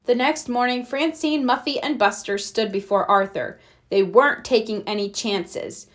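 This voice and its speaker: A woman narrating a children's storybook aloud in an expressive read-aloud style. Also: fairly high pitch, moderate pace. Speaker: storybook reader.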